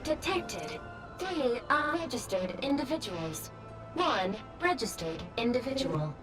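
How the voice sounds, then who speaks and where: Slow, formal service robot. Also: female voice, a little high, very animated. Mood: procedural. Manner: clipped, procedural announcements. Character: formal female service robot announcing system status. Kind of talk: video game voice acting. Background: loud music.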